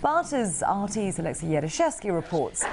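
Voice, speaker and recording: A woman's voice: mid-range voice, very animated, normal speed, creaky, a crowd in the background. A woman reading a scripted news report as an anchor.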